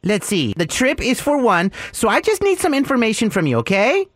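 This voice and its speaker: A pushy, cocky fake travel agent, put on by a woman for a radio prank call. The voice sits fairly high and swings widely in pitch. The sound is close and dry. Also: sing-song, brisk, no room sound. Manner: brusque, insistent, teasing.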